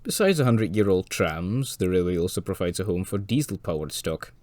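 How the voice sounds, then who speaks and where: A man with a slightly hoarse voice from a cold, narrating a scripted railway documentary with dry, wry asides. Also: low voice, lively intonation, a little fast, a little mellow, dry recording. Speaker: railway video presenter.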